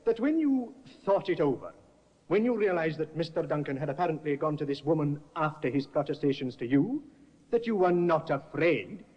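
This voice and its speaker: An actor playing a sharp, persistent defense barrister cross-examining witnesses in an old radio drama, heard through a dull restored broadcast. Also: male voice, a little high, animated, moderate pace. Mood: pressing. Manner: probing, pressing cross-examination. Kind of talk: 1950s radio acting.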